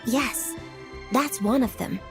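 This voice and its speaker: A woman's voice: on the high side, takes it slowly, music in the background. A woman playing a composed, concerned young official in a video game. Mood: serious, concerned. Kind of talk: English video game voice acting.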